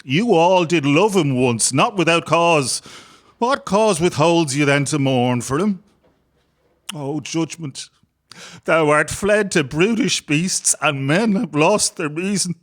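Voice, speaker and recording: An actor playing a restless, sardonic young Irish rebel soldier in an audio play, with a theatrical manner and an Irish accent. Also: male voice, on the high side, expressive, slow. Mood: declamatory. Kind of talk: audio drama acting.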